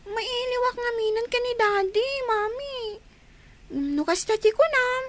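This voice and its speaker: A woman playing an excited young daughter talking to her mother in a radio drama. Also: very high voice, unhurried. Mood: pleading, obedient.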